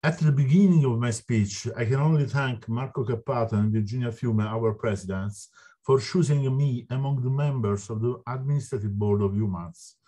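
A man's voice: mid-range voice, dark tone, husky. Italian man reading a formal speech. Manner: formal, slightly halting reading. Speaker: board member. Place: indoor office with wooden wall. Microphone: video call.

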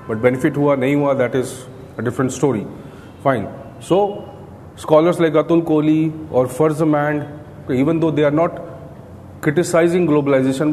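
Male lecturer, middle-aged, Indian accent, slightly high voice, explanatory delivery, reverberant noisy classroom. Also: darkish tone. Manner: explanatory, often tags statements with "right?". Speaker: coaching lecturer. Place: indoor classroom, at a podium beside a screen. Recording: echoey room with clear background noise.

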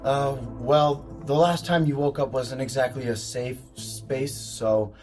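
Nervous young male nurse, audio drama, played by a man: hesitant, mid-pitched, music underneath. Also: fairly even intonation, takes it slowly, a little mellow, close to an everyday voice. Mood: wary, uneasy. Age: young adult. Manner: hesitant, anxious.

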